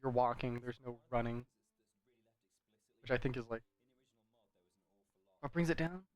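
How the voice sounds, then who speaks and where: Male gameplay commentator, mid-pitched, conversational and meandering, unsure phrasing, recorded dry. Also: unhurried, no echo. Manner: rambling, conversational, hedging. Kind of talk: casual game commentary.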